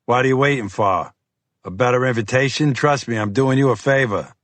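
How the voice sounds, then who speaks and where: A brusque, mocking FBI agent with a Boston accent, as a male narrator puts him on, gruff and impatient. He talks in a raised, higher, pushy register. The recording is dry and close. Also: a little fast, fairly bright tone, no echo, natural voice. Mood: scornful.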